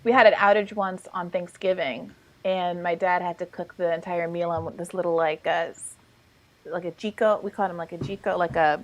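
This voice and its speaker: A woman in casual panel talk, chatty and animated, with lots of fillers and restarts. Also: lowish voice, normal speed, low-quality recording. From lived in Kenya as a child.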